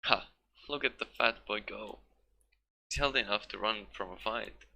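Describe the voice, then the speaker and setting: A man voicing a fierce, foul-mouthed ancient woman in a storybook reading, gruff and scolding. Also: on the low side, moderate pace, pushed and bright, slight background noise, exaggerated voice. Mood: gloating.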